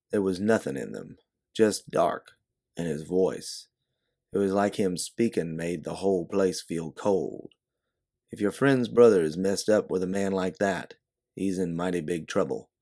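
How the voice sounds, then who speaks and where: A man's voice: low-pitched, a little slow, darkish tone. A man voicing a blunt, watchful, folksy doorman in a story, talking plainly and protectively. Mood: ominous, warning. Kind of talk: second-person storybook narration, character dialogue.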